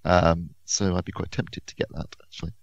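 A man with a very deep, gravelly voice co-hosts a podcast, talking fast and eagerly with frequent laughs. The sound is dry and a little dull and lo-fi. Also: flat delivery, no room sound. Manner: enthusiastic, quick, laughs often. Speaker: podcast co-host, reviewer. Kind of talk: casual chat.

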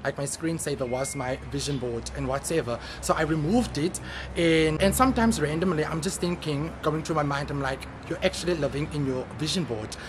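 A man's voice: on the high side, fairly quick. A man vlogging casually, chatting directly to his viewers. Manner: chatty, addresses viewers as guys. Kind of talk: casual vlog chat to viewers. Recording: noisy vlog audio with background ambience.

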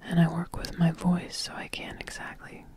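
A woman in her twenties whispering a roleplayed ASMR video diary close to the mic, in a hushed, halting manner. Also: lowish voice, monotone. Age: 20s. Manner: hushed, halting, drawn-out delivery. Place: small indoor home studio room. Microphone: close desktop mic with foam windscreen.

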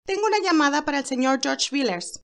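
A woman's voice: high voice, expressive, fast, pitched above the performer's own voice. A woman voicing a polite, businesslike female telephone operator in a story, formal and courteous.

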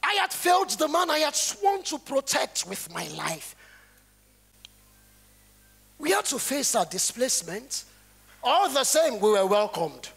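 An earnest, troubled Nigerian palace guard reports to his father in a stage play. His high, creaky voice is declamatory and very lively in pitch. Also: unhurried, long pauses, heavily acted. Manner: declamatory, dramatic. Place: theatre stage.

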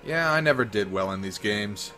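Man as a deadpan, weary anime teacher. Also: fairly low pitch, moderate pace, soft background music. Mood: dry, wistful. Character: weary, dry middle-aged male hero teacher. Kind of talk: anime parody dub.

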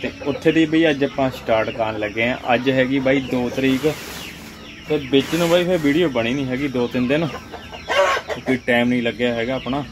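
A man's voice: medium pitch, moderate pace. A young man with a Punjabi accent from India, giving casual, informal vlog commentary to viewers on a phone at a poultry farm. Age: young adult. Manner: informal, addresses viewers as friends. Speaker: farm vlogger. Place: poultry farm sheds and yard. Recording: noisy farm with clucking birds. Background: chickens clucking, birds.

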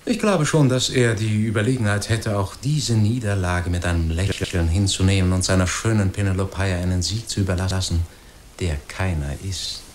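An actor playing an earnest, learned doctor in a literary radio drama, reflective, eloquent and emphatic. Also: male voice, sits low, fairly even intonation, moderate pace, natural voice. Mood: musing, earnest. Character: earnest, philosophical learned doctor, easily astonished. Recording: faint noise and ambience behind.

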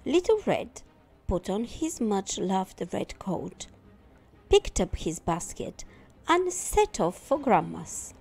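Slow, husky woman reading a storybook. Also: medium pitch. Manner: expressive, deliberate emphasis. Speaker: storytime reader. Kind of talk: scripted children's story narration.

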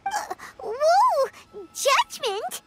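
A tiny fairy companion girl from a video game, extremely high and swooping. She is excitable and childlike, bursting into exclamations, with music playing behind her. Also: extremely slow, exaggerated voice. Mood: nervous. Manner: refers to self in third person, exclamatory. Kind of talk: video game character acting.

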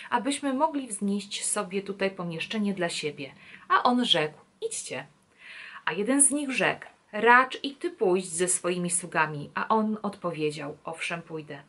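A woman's voice: medium pitch, fairly bright tone. A woman in her thirties presenting a children's Bible lesson, reading aloud and explaining expressively. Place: small indoor room at home.

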